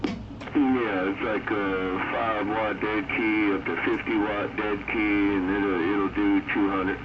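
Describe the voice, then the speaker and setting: Male CB operator, low voice, folksy rambling chat, muffled transmission buried in heavy static noise. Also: flat delivery, takes it slowly. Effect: CB radio transmission. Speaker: CB radio operator. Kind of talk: casual radio chat. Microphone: CB radio microphone, heard through receiver. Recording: muffled, very noisy CB radio reception.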